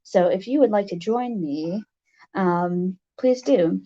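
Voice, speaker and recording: A livestream host with a raspy voice, chatting casually and haltingly with viewers, with many fillers. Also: medium pitch, dark tone, lo-fi sound.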